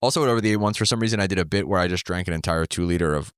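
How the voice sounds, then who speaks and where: A man's voice: low-pitched, rapid-fire, clean tone, no echo, clean studio sound. A man in his thirties, a comedian, telling stories in casual chat and rambling with many fillers and restarts. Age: 30s. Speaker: comedian guest. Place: New York City.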